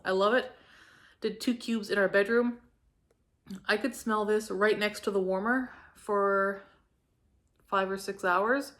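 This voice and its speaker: A young woman from Canada talks casually through her product reviews, enthusiastic and full of likes and ums. Her voice sits at an ordinary middle pitch, a little hoarse from a cold. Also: a bit bright. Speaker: home fragrance reviewer.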